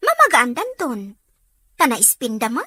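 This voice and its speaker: A woman's voice: high voice, huge pitch swings, moderate pace, pushed and bright, no echo, exaggerated voice. A woman acting as a practical young working woman chatting with a friend in a scripted Ilocano radio drama. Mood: chatty.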